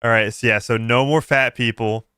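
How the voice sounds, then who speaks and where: A man hosting a casual livestream, reacting aloud in a halting way, repeating words and breaking off mid-sentence. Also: medium pitch, steady tone, pushed and bright, smooth, no echo, clean studio sound. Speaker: livestream host. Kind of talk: casual reaction stream commentary.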